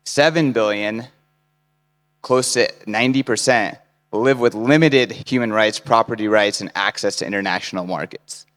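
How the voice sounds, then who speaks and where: A man's voice: on the low side, moderate pace, a bit bright. A young man giving an earnest, persuasive conference talk, listing his points in short phrases. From United States. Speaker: conference speaker. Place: large conference hall. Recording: hall mic with long reverb.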